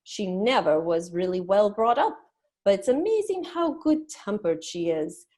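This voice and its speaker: A woman's voice: mid-range voice, sing-song, a little slow, warm tone. A woman playing a bossy, patronizing queen who talks primly in a dramatic reading of a children's story. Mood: condescending, soothing. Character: bossy, condescending Red Queen growing drowsy.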